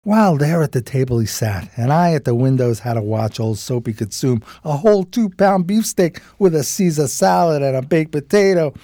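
Folksy 1920s street pal and storyteller, male actor; soft dark timbre, on the higher side, slangy and loose. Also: expressive, normal speed, hardly any pauses, dry recording, studio quality. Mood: wry, envious. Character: streetwise, loyal homeless pal and narrator, 1920s New York. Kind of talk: radio play acting and storytelling.

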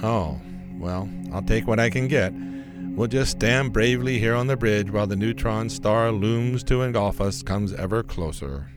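A man's voice: fairly low pitch, normal speed, over music, close to an everyday voice. A man playing a pompous, exasperated starship captain in a comedic radio play, stuffy and declamatory. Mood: resigned. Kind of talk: comedic radio theatre parody acting.

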